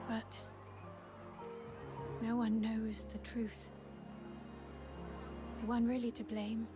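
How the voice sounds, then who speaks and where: An actress playing an earnest, resolute young legion officer in a video game, speaking in a solemn, heartfelt way. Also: female voice, on the high side, natural voice. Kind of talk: dramatic video game voice acting.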